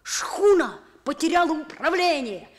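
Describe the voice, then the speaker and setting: An actress playing a dreamy, stubborn schoolboy in a Soviet radio play, with an eager, theatrical delivery. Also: female voice, sits high, big pitch swings, unhurried. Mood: dramatic storytelling. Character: dreamy, stubborn schoolboy narrating his sea adventure.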